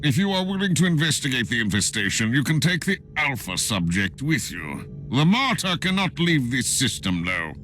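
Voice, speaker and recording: A zealous, haughty inquisitor in a grim sci-fi game. His sharp, forceful voice rises and falls dramatically with pompous authority. Faint music plays underneath. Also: mid-range voice, big pitch swings, normal speed. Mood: grudging. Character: stern, haughty, zealous male rival inquisitor. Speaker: game character. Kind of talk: dramatic video game cutscene acting.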